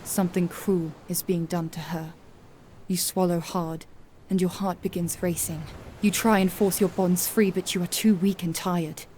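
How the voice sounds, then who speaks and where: A woman narrating a scripted grim fantasy story in a solemn, measured, dramatic storytelling style. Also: fairly low pitch, fairly even intonation, mellow, a little hiss or hum.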